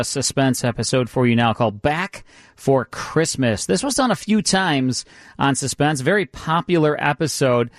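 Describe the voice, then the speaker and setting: An American man hosts radio in a conversational manner. His voice sits a bit high for a man, recorded close and dry. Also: no echo. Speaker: radio host.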